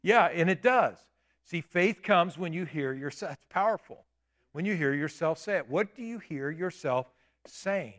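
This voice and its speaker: A man in his late fifties preaching a sermon in a church, with emphatic declarations and quieter asides. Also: on the high side, expressive, moderate pace. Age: 50s. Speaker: pastor. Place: church sanctuary.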